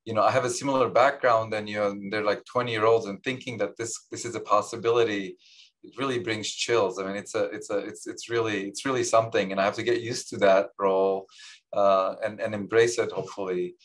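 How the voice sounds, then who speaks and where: A man raised in Lebanon whose first language is Armenian talks over a video call from an office. His voice sits fairly low, and he speaks modestly, restarting phrases and leaning on fillers. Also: fairly even intonation, a little fast. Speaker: Nobel laureate guest. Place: office with large window. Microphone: video call webcam.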